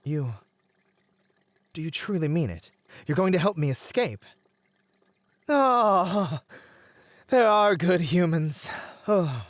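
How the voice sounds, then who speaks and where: A man playing a merman siren in an ASMR roleplay, speaking in courteous, formal phrases as a seemingly gentle creature with a predatory streak. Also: fairly high pitch, no echo. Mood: grateful, amazed. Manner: soft, courteous, formal, halting speech. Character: male merman siren, feigning gentle innocence, secretly predatory.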